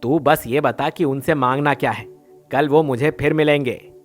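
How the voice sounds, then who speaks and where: A man's voice: medium pitch, steady tone, normal speed, faint music behind, lightly acted. A man playing a greedy village thief in a devotional story, brash, colloquial and grumbling. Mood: eager, curious.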